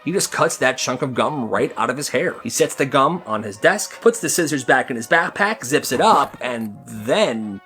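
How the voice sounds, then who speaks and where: A man's voice: mid-range voice, animated, moderate pace, bright, forceful tone, soft background music. A man telling comedic stories in an exaggerated way, acting out the characters' lines. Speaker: storyteller. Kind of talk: animated comedic storytelling for short videos.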